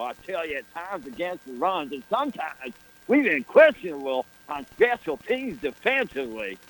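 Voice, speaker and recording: A cocky small-town show-off who calls himself the team's lucky charm, as a man puts him on. He swaggers through every boast in a slightly high voice and tags nearly every phrase with "man" or "baby". It is a comic character monologue. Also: fairly bright tone, poor audio. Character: brash boastful small-town man, self-styled football good-luck charm.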